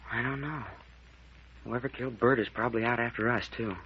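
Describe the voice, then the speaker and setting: An actor playing a worried, secretive young university student in an old-time radio mystery, earnest and guarded. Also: male voice, medium pitch, fairly even intonation, fairly quick, close to an everyday voice. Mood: urgent, worried. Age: young adult. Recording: dull, noisy vintage radio recording.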